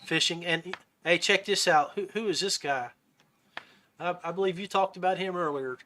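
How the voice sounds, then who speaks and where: A man's voice: sits high, moderate pace, bright, forceful tone. A man hosting a podcast in a casual chat, talking in a folksy, buddy-like way. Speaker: podcast host.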